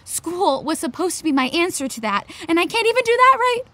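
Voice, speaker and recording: A woman's voice: very high-pitched, sing-song, fairly quick, pushed and bright, dry recording, heavily acted. An actress playing an anxious, defensive teenage girl in a naturalistic audio drama, with a sarcastic, exasperated edge. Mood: angry, bitter.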